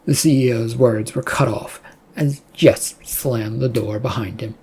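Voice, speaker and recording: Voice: medium pitch, steady tone, a little slow, mellow, husky. A narrator reading scripted prose fiction in the style of an audiobook. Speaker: story narrator.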